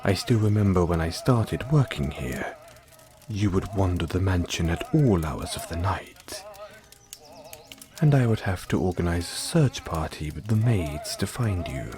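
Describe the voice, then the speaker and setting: Low-voiced, formal butler, played by a man. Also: expressive, music in the background. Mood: fond, reminiscing. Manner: formal, deferential, measured. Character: polite, doting, gently teasing male butler. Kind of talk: scripted audio roleplay.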